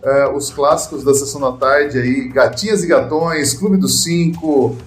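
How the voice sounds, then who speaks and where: A man's voice: mid-range voice, a little slow, faint music behind. Enthusiastic Brazilian man hosting in an echoey room. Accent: Brazilian Portuguese. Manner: enthusiastic, peppered with "cara" and "né". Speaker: podcast host. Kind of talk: casual film podcast chat.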